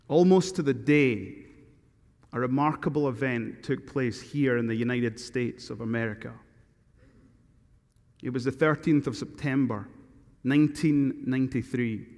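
A middle-aged man from Scotland with a thick Glaswegian accent, preaching a sermon as guest preacher and reading scripture with slow, earnest emphasis at a podium mic. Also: mid-range voice, a little mellow. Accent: Glaswegian Scottish. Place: large church sanctuary. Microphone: podium microphone. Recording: long church reverb.

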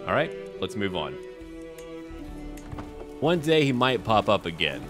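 Deep-voiced man doing animated game commentary. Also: a little slow, over music. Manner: talks aloud to game characters. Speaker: gaming video host. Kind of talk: casual gameplay commentary.

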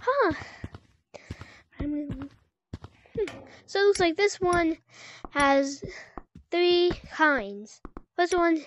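Very high-pitched game player. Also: lively intonation, slow pace, pauses often, fairly bright tone, a little hiss or hum. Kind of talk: casual chat while playing a VR game.

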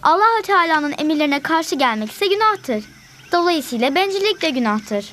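A woman's voice: sits high, very animated, normal speed, a bit bright. A woman playing an earnest, kind young pupil who explains things in a children's educational cartoon.

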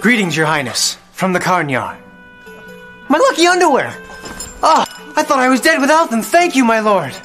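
Eager young knight, high lively voice. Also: male voice, normal speed, exaggerated voice. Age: young adult. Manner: bright-eyed, polite, earnest. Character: earnest, eager, naive young knight hero. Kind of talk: comic fantasy game acting. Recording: music behind the voice.

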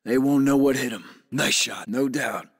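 A man playing a commanding, gruff special forces captain in a military shooter game, giving short, clipped, authoritative orders and callouts. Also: medium pitch, normal speed, dry recording, studio quality. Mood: terse, controlled. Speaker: video game voice actor.

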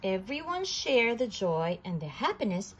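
A woman reading a children's picture book aloud, slowly and with animated delivery. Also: mid-range voice, noisy background. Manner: slow, animated storytelling with occasional restarts. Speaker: storybook reader.

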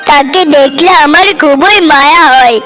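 Caring elder-brother husband acted by a woman, cartoon drama, high earnest voice, faint music behind. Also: normal speed, fairly bright tone. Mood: concerned. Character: kindly, concerned elder brother, village husband. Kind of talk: Bengali cartoon family drama acting.

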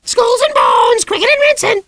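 A man voicing a frantic male parrot that screeches and squawks in a very high, shouted voice. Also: wildly swooping pitch, moderate pace, vocal fry, dry recording, full character voice. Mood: frantic shrieking.

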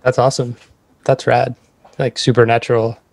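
A man's voice: medium pitch, flat delivery, normal speed, creaky, no echo. A man hosting a podcast, chatting informally with short, agreeable reactions. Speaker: podcast host. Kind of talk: casual interview chat.

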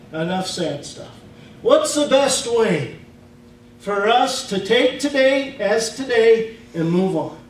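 A Midwestern man preaches slowly and emphatically, his voice sitting high for a man. He is heard from a distance in a very echoey church. A faint hum runs underneath. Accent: Midwestern American. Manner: slow, emphatic preaching with casual grammar. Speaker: pastor. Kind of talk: sermon. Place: church sanctuary.

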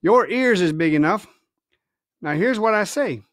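A man's voice: high voice, expressive, measured pace, fairly bright tone, no echo, clean studio sound. A man reading a storybook, voicing a sly, domineering pirate ship's cook with hectoring, colloquial sailor speech. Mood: commanding, scheming.

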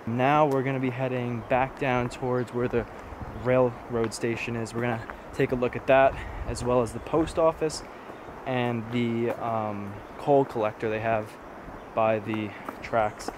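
A man's voice: mid-range voice, flat delivery, unhurried. A young adult man casually narrating an exploration to a handheld camera as a vlogger. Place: outdoors on wooded roads and at derelict buildings. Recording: noisy outdoor handheld camera audio.

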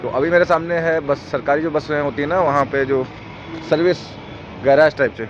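A hoarse young man in his twenties walks through an outdoor bus station, filming himself on a phone and chatting like a tour guide. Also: a little high, normal speed, noisy background. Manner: chatty tour-guide narration, pointing things out. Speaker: travel vlogger. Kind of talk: casual walking vlog. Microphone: phone camera at arm's length. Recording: dull lo-fi phone audio with music behind. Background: music playing and passing buses.

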